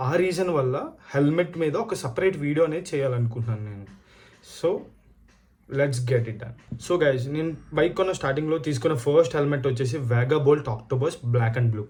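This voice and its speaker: A man's voice: mid-range voice, darkish tone. A man from India with a Telugu accent, talking casually to viewers as a vlogger and often mixing in English phrases. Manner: code-switches often between Telugu and English. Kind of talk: casual product review and storytelling to viewers.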